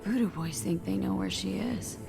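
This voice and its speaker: A woman's voice: mid-range voice, moderate pace. An actress playing a tough, wary mercenary woman in a video game, talking in a blunt, clipped, streetwise way. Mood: probing. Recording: game audio with clear background music.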